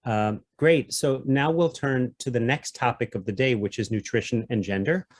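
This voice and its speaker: A man's voice: medium pitch, normal speed, dry recording. A man moderates an online workshop, politely keeping time and introducing speakers. He speaks in a mid-range voice. The sound is dry and close. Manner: polite, accommodating. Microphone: video call.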